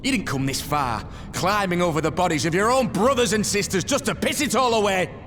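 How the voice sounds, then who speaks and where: An actor playing a blunt, impassioned rebel fighter in a video game, forceful and intense. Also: male voice, sits high, fairly quick. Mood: stern, impassioned. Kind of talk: dramatic video game voice acting. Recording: long reverb, faint background noise.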